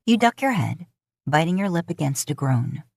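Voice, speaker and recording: A woman's voice: sits low, very animated, moderate pace, vocal fry, a little mellow, dry recording, studio quality. A woman reading scripted second-person romantic fiction as a podfic narrator.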